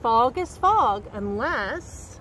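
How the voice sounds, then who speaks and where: A middle-aged woman reading a picture book aloud to young children in an expressive, carefully enunciated way, recorded outdoors. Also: fairly high pitch, drawn-out words. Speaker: storybook reader and nature educator. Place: outdoors by a woodland creek. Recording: outdoor background noise.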